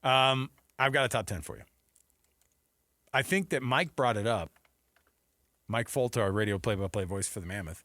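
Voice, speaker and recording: A man's voice: fairly low pitch, moderate pace, pauses often, sharp tone, dry recording, studio quality. An American man hosting sports talk radio with casual, chatty banter that jumps off the cuff between thoughts. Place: radio studio.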